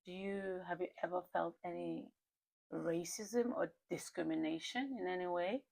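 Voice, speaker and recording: Lady talk show host, lowish voice, informal and chatty, echoes and agrees a lot. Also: normal speed, gravelly, darkish tone. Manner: informal, echoes and affirms the guest's words. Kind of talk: casual, candid interview chat.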